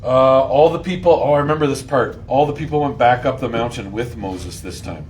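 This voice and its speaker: A man hosts an informal Bible study in a slangy, joking style. He quizzes his listeners and acts out lines with gusto. There is clear background noise behind his voice. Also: medium pitch, brisk. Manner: slangy and jokey, acting out lines loudly. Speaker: Bible study host and teacher.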